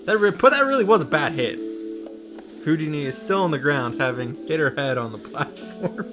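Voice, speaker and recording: A man's voice: on the high side, expressive, moderate pace. A man recapping a visual novel as commentary, with sarcastic, sweary, exclamatory asides. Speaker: gaming YouTuber. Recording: music playing behind the voice.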